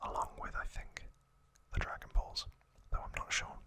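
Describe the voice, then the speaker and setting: A man hosting ASMR, whispering a trivia recap in a breathy, hushed voice with short phrases and pauses. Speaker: ASMR host.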